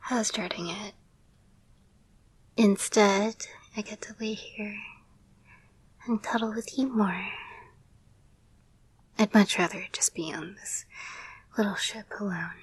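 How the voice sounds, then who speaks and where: A woman playing an affectionate, playful girlfriend and caretaker in an intimate roleplay audio, speaking in a soft, breathy whisper with a gentle, hesitant murmur. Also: medium pitch, no room sound. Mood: tender, confiding.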